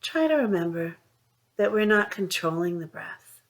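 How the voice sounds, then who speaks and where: A woman leading a guided mindfulness meditation, speaking in a soothing, unhurried way with long pauses between phrases. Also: medium pitch, expressive. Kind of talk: guided meditation, gentle instruction.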